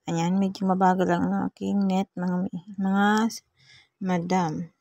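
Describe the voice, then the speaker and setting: A woman's voice: on the low side, little pitch movement, measured pace, gravelly, warm tone, no room sound, dull audio. A woman with a Filipino accent giving a casual, chatty step-by-step tutorial, often repeating her instructions to viewers. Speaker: vlogger giving a phone editing tutorial.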